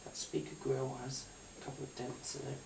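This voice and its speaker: A man's voice: medium pitch, little pitch movement, brisk, creaky. A man giving a casual, unscripted gadget demonstration in a rambling, hesitant way, often repeating his phrases. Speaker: gadget review YouTuber. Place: indoors at a tabletop, away from the mic. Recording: roomy, distant mic, faint hiss and clicks.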